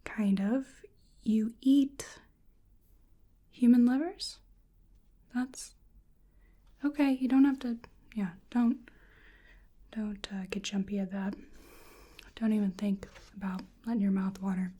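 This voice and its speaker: A woman with a soft, breathy, often whispery voice doing ASMR roleplay as a bewildered, polite researcher, speaking hesitantly to the listener. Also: mid-range voice, fairly even intonation, takes it slowly, long pauses. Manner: hesitant, murmuring to an unseen listener. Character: bewildered, polite female researcher examining a creature.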